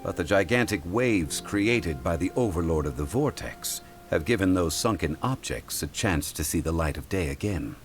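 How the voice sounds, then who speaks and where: Erudite game gentleman: very low voice, formal and measured, music behind. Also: animated. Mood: calm, explaining. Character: composed, erudite gentleman scholar, patient and formal. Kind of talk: video game voice acting. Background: clear game music.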